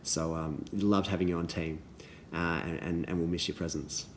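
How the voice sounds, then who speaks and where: A man, a little hoarse from losing his voice, giving earnest thank-you messages to youth leaders with many ums and restarts. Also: deep voice, fairly even intonation, moderate pace, a little hiss or hum.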